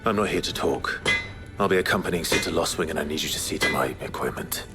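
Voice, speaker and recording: Low-voiced brooding warrior. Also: male voice, fast, loud music behind the voice. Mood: terse, guarded. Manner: grave, terse, intense. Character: brooding, vengeful branded male warrior, a fallen lord. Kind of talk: fantasy game cutscene acting.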